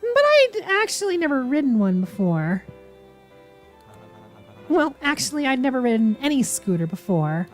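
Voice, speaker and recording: A woman's voice: high-pitched, big pitch swings, normal speed, long pauses, faint music behind. A woman voicing a chatty, naive, friendly young man from a horror visual novel, earnest and rambling. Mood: earnest, flustered. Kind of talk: visual novel character reading.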